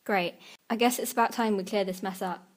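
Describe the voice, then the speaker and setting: A woman's voice: medium pitch, steady tone, a little fast, natural voice. A woman playing a loving but stern mother and queen in a children's audiobook, with a firm, declarative delivery. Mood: proud, commanding. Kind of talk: children's audiobook character acting.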